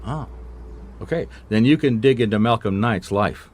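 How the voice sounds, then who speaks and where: Man playing a low-voiced, wry private detective. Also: moderate pace, a little mellow, lightly acted. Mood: wry, thoughtful. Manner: dry, conversational, probing. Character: wry, persistent male private detective, noir narrator. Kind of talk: radio mystery drama, dialogue and narration.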